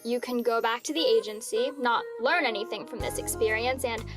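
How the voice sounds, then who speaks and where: A woman playing a calm, wry, seasoned mentor, assured and blunt, in a dramatic staged reading. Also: on the high side, brisk, music in the background, natural voice.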